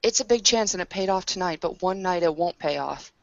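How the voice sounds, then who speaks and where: A woman's voice: fairly low pitch, brisk, creaky, muffled recording. A woman playing a suspicious, blunt and protective young woman in a tabletop roleplaying game. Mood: stern, upset.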